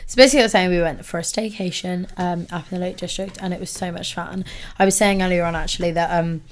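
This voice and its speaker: A young woman from England co-hosting a podcast in casual chat, hoarse and tired, giggly and rambling, swearing freely. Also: fairly low pitch, fairly even intonation, fast. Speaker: podcast co-host.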